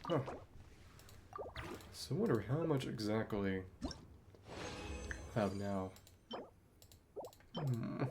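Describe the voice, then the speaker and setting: A man's voice: lowish voice, lively intonation, takes it slowly, long pauses, noisy background. A man giving casual video game commentary, thinking aloud and murmuring to himself as he plays. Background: video game music.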